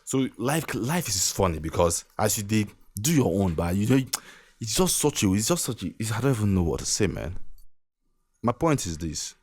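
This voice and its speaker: A man's voice: lowish voice, big pitch swings, moderate pace, a little mellow, breathy edge. A young Nigerian man hosting his own podcast, giving an earnest motivational talk that repeats its points and breaks into small laughs. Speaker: podcast host. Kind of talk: motivational monologue.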